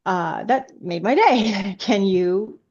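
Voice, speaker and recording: A woman's voice: mid-range voice, slow, a little mellow, husky, muffled recording. A woman speaking as a guest author in a casual interview, hesitant and often restarting her sentences.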